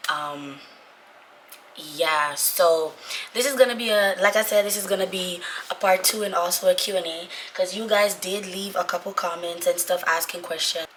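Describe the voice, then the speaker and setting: A young woman talks casually to camera in a slightly low, forceful voice, raspy and tired out by sickness. She is informal and repeats her phrases to drive a point home. Faint room ambience sits behind her. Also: moderate pace, slight background noise. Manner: informal, repetitive for emphasis. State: sick, voice going away. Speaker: vlogger, Navy corpsman trainee. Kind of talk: casual chatty vlog talk to viewers.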